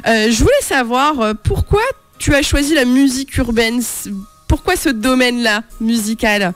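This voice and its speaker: A woman's voice: fairly high pitch, moderate pace, faint music behind. A woman hosting a radio interview, asking chatty, encouraging questions. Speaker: radio show host.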